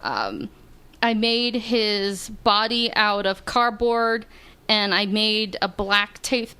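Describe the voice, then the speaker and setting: A woman with a smooth, clear voice tells a casual anecdote on a comedy podcast. She speaks hesitantly, filling gaps with small fillers as she goes. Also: medium pitch, expressive, slow pace, sharp tone. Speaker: podcast guest.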